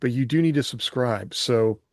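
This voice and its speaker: A man's voice: medium pitch, normal speed, a little mellow, no room sound, clean studio sound. A man hosting a podcast in a casual, chatty style. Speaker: podcast host. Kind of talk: casual chat.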